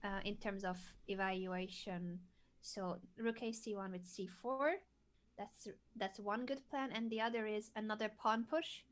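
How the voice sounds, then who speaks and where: A woman's voice: medium pitch, steady tone, a little slow. A woman coaching chess, patiently asking guiding questions and explaining plans.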